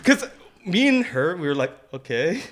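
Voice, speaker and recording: A man's voice: on the high side, very animated, normal speed, a bit bright. A man co-hosting a podcast, telling stories in casual, slangy talk and often repeating his phrases. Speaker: podcast co-host. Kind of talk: casual chat and anecdote telling.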